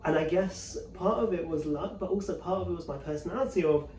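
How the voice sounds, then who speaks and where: Quick, high-voiced man in an echoey room. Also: darkish tone, a little hiss or hum. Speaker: interview guest, corporate executive. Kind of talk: casual conversational personal anecdote. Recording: echoey room, distant mic.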